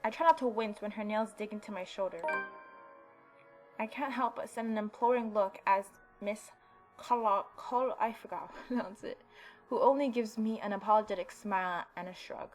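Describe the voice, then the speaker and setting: Woman, mid-pitched, game narration, casual asides, playful, expressive, soft music underneath. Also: moderate pace, low-quality recording. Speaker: let's player reading and reacting. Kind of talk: gameplay narration and casual commentary.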